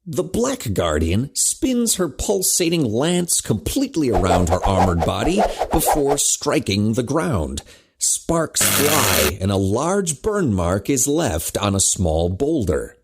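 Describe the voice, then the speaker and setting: A man narrating a scripted action drama with a husky voice. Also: medium pitch, huge pitch swings, slow pace, slight background noise.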